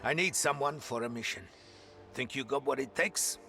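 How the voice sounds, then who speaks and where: Gravelly, commanding war veteran officer. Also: male voice, mid-range voice, moderate pace. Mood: gruff, businesslike. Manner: commanding, blunt. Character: gruff battle-hardened army commander rallying frightened conscripts. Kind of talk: video game voice acting. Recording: loud music behind the voice. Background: dramatic game music.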